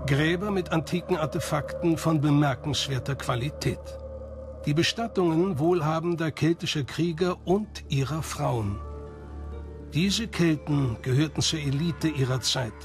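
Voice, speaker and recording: Guy doing documentary voiceover, kinda high voice, measured n dramatic, music clearly behind him. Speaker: documentary narrator. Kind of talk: scripted documentary narration. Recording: music under the narration. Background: background music.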